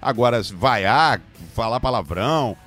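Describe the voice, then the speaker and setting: A man with a Rio de Janeiro accent chats about football in a loose, slangy way. His mid-pitched voice swings around in lively fashion, with light music underneath. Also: measured pace. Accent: Brazilian, Rio de Janeiro. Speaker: football podcast panelist. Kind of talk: casual sports banter with slang.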